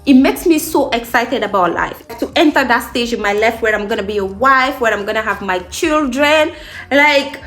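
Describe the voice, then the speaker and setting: A woman in her late twenties from Guinea, a beauty vlogger chatting casually in an upbeat, emphatic way, repeating phrases to encourage her viewers. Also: fairly high pitch. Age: late 20s. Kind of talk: casual get-ready-with-me chat.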